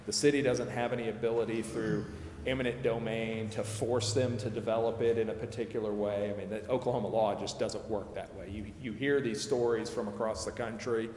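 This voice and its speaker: An American man explaining policy at a public meeting in a measured, halting way. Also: lowish voice, steady tone, darkish tone. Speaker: advisor explaining city tax district plans. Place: council chambers. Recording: echoey council chamber, background hiss.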